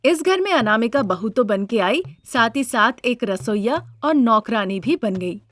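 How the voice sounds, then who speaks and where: A woman's voice: fairly high pitch, lively intonation, a little slow, a bit bright, dry recording. A woman narrator reading a scripted cartoon story as a storyteller.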